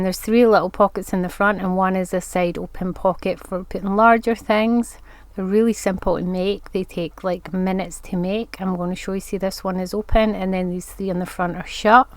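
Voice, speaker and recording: A woman's voice: medium pitch, clean tone, no room sound. A woman with a congested voice from hay fever, chatting her way through a step-by-step crafting tutorial. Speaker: craft vlogger.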